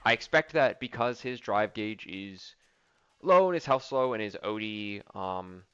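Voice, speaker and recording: Bright-voiced man thinking aloud. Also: lowish voice, expressive, measured pace, clean tone, no room sound, dull audio. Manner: thinking aloud with many ums and likes. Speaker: fighting game player reviewing his own match. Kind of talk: casual match commentary.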